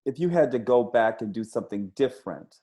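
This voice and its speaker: A man with a mid-pitched, very lively voice hosts a casual interview. He is effusive and encouraging, doubling up on affirmations as he talks. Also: a little fast. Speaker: interviewer and comics creator.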